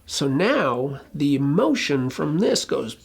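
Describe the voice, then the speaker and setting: A presenter giving an informal explainer talk in a colloquial, emphatic way, with a gravelly, husky voice. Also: mid-range voice, sing-song, takes it slowly. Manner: colloquial, emphatic, occasionally swearing. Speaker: presenter explaining relationship conflict.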